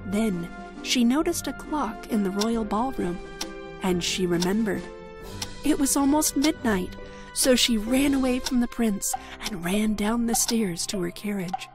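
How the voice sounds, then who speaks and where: American woman, high-pitched voice, storyteller, scripted children's narration, clear background music. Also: lively intonation, unhurried.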